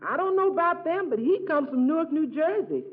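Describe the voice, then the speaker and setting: Chatty housekeeper, high swooping voice. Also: female voice, animated, fairly quick, background noise. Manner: rambling, folksy, matter-of-fact. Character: chatty, unflappable housekeeper woman. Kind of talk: 1940s radio comedy acting. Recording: tinny, narrow 1940s radio recording.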